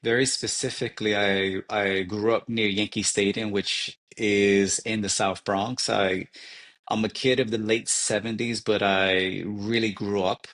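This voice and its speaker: A man in his forties from New York City, talking in an interview as a leadership advisor, measured and reflective. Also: on the low side, steady tone, fairly bright tone. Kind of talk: interview conversation.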